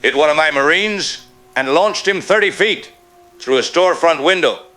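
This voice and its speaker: A man playing a shaken veteran Marine colonel, grave and halting, reporting from the field in an apocalyptic radio drama. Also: on the high side, sharp tone, faint music behind.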